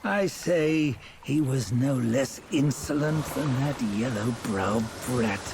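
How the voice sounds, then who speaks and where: An actor playing a grave, judgmental guide in a fantasy game, speaking in solemn, archaic phrases with a cryptic, mocking edge. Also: male voice, medium pitch, takes it slowly, creaky, noisy background. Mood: scornful. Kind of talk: fantasy game cutscene acting.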